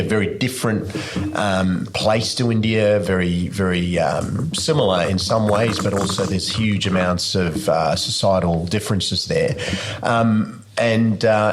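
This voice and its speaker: Man in his 40s from Sri Lanka, very deep voice, doctor guest, measured storytelling, lots of ums. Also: a little hiss or hum. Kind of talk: casual interview chat. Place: farm.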